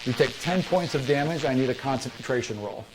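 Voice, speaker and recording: A man's voice: mid-range voice, a little fast, background noise. A man running a tabletop fantasy game as game master, narrating descriptively and voicing sound effects.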